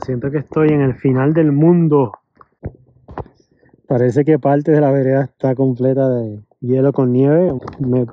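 A man narrating a travel vlog in a casual, chatty way, speaking into a handheld camera as he walks. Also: medium pitch. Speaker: travel vlogger. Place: mostly outdoors on mountain hiking trails. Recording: dull, noisy outdoor handheld recording.

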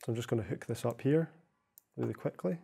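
A young man narrating a software tutorial in a measured, explanatory way. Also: medium pitch, creaky, breathy edge. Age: young adult. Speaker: software demonstrator.

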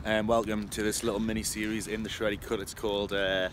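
A man's voice: lowish voice, little pitch movement, fast talker. A playful young man doing a mock product review to a phone camera outdoors at night. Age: young adult. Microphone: phone camera held close. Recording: noisy street with traffic.

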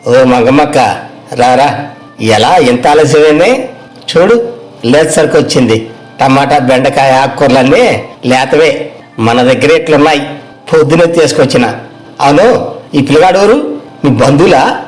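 A man playing a brisk, friendly wholesale vegetable trader with colloquial bargaining patter in a moral story. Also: medium pitch, lively intonation, natural voice, rough recording. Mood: brisk, welcoming. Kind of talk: acted moral story dialogue.

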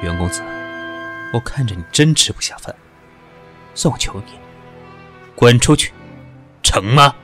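An actor playing a proud, sarcastic senior executive who is weak from fever and answers in cold, cutting remarks. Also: male voice, mid-range voice, expressive, unhurried, pauses often, bright, forceful tone, faint music behind. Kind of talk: radio drama acting.

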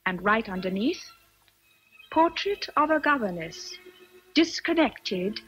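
An actress playing a self-controlled, earnest young governess in a radio drama, speaking formally and frankly. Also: female voice, fairly high pitch, sing-song, takes it slowly, fairly bright tone, slightly rough, soft background music, full character voice, low-quality recording. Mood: stern self-reproach. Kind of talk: vintage radio drama acting.

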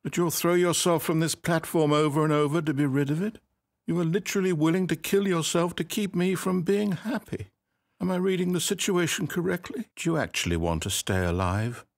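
An actor playing the fussy, needy male narrator of an office video game, swinging between pleading, wry sarcasm and giddy excitement. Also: on the high side, fairly quick, lightly acted. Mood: hurt.

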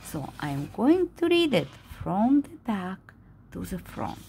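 Very slow, expressive woman reading aloud. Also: medium pitch, slight background noise. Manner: slow, dramatic, expressive delivery with simple explanations. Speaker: picture-book reader. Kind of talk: read-aloud storytelling with asides to young listeners. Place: indoors at a table.

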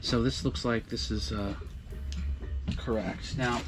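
A man's voice: mid-range voice, steady tone, slow, music in the background. A man in his fifties casually explaining a hands-on project, thinking aloud tentatively as he goes, recorded on a boat. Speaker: do-it-yourself boat vlogger. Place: open-sided boat cockpit at a marina.

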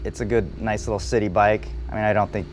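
A man's voice: fairly low pitch, steady tone, quick pace, slight background noise. A man talking casually and informally as he shows off his motorcycle. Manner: informal, plain-spoken. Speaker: motorcycle owner. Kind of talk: casual show-and-tell.

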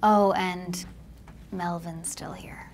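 A woman acting as a dry, weary young office assistant in a film drama, speaking in a deadpan way. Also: fairly low pitch, slow pace, background noise. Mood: dry worry. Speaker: actress in a short film. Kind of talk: film dialogue.

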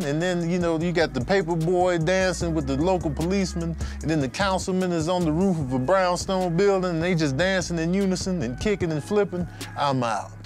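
A man comedian speaking to camera in a casual, colloquial, playfully ranting way. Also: high voice. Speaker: comedian contestant. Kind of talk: casual comic confessional. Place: podcast studio.

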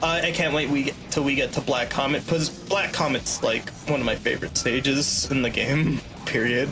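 A young man doing casual live commentary as a speedrunner, in a halting way that repeats and restarts phrases. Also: mid-range voice, fairly even intonation, gravelly, noisy background. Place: indoor room at home, webcam stream. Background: video game music and vehicle-like game sounds.

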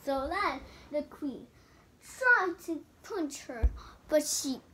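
A child improvising a fairy tale with toys, telling it dramatically in halting sentences. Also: high-pitched, expressive, pushed and bright, a little hiss or hum. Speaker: storyteller. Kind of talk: improvised storytelling of a toy play fairy tale. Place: indoors in a room at home.

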